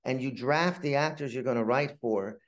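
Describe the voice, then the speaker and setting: Halting middle-aged man telling stories. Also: medium pitch. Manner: halting, rambling anecdotes with frequent repeated words. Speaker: playwright, interview guest. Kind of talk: conversational interview storytelling.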